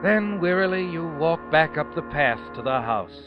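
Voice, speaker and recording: An actor playing an ominous, knowing radio narrator, telling the story dramatically and insinuatingly in 1940s style. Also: male voice, on the high side, lively intonation, moderate pace, lightly acted. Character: ominous, knowing narrator who taunts the protagonist. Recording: dull vintage radio recording. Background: dramatic music.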